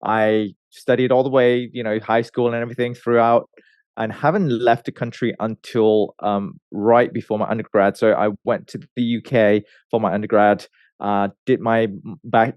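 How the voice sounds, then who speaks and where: A man in his thirties from Malaysia, a research scientist telling his career story in a relaxed way, with many fillers and restarts. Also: medium pitch, normal speed, dry recording, muffled recording. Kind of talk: conversational interview answers.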